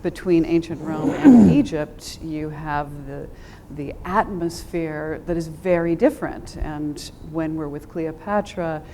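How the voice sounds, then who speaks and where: A woman speaking as a panel guest in a thoughtful, measured way, pausing between phrases. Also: warm tone. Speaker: theater scholar, panel guest. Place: on a theater stage. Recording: clear background noise with low rumble.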